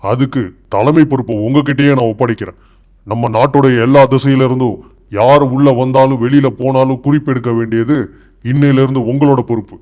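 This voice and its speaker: Man acting a mellow, authoritative kingdom official. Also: medium pitch, steady tone, a little fast, natural voice. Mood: approving, regal. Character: authoritative officials and king of an old Indian kingdom. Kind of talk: acted dialogue in animated moral tale.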